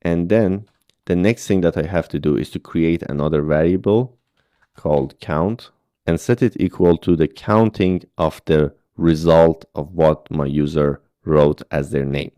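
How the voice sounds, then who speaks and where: A man guides beginners through coding tasks one step at a time. His voice sits very low and mellow. The sound is close and dry, with pauses to ask whether the learner is following. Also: smooth, no room sound. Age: 30s. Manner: patient step-by-step explaining with frequent "okay?" checks. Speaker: programming instructor. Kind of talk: screen-recorded coding tutorial.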